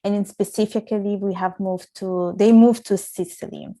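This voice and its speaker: A woman's voice: mid-range voice, fairly even intonation, normal speed, mellow. A young woman from Italy who is a podcast guest, telling her life story in an earnest, reflective way with frequent restarts. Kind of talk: casual interview storytelling.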